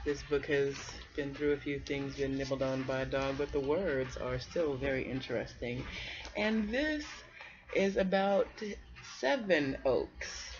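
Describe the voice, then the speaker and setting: Low-pitched woman reading to children. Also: unhurried. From United States. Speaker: amateur storybook reader. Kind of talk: personal message and children's read-aloud. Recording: dull, muffled amateur recording.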